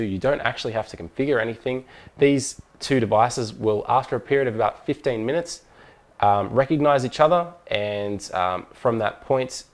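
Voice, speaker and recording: A man's voice: medium pitch, a little mellow. A man presenting a hands-on product tutorial in an informal, methodical, step-by-step way.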